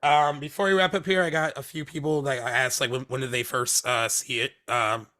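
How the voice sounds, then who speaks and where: A man's voice: mid-range voice, steady tone, a little fast, bright, forceful tone. A man co-hosting a movie podcast in casual chat, rambling with many fillers and restarts, his voice recovering from laryngitis. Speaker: podcast co-host. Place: Hermosa Beach, California.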